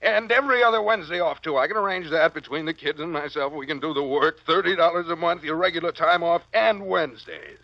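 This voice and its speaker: A man's voice: on the high side, lively intonation, quick pace, hardly any pauses, no room sound. An actor with an American accent playing a middle-aged, flustered father who pleads wordily and stammers, in 1940s radio drama style. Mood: desperate pleading. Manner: wheedling, wordy, stammering. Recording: muffled vintage radio recording.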